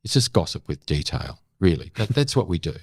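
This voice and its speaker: An older man with an Australian accent, talking candidly and reflectively as an interview guest, emphatic but with halting pauses and repetitions. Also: deep voice, very animated, husky, no room sound, studio quality. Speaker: interview guest, former journalist.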